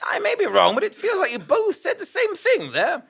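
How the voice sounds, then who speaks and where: Woman playing a bright, wildly animated bewildered journalist. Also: very high-pitched, normal speed, creaky, heavily acted. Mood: flustered. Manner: theatrical, mock-serious, excitable. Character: bewildered amnesiac male investigative journalist narrating his adventure. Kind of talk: comic audio drama, scripted narration and dialogue.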